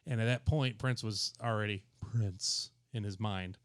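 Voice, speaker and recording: A man's voice: on the low side, measured pace, dry recording, clean studio sound. Tipsy, crude-joking male podcast host. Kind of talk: casual chat.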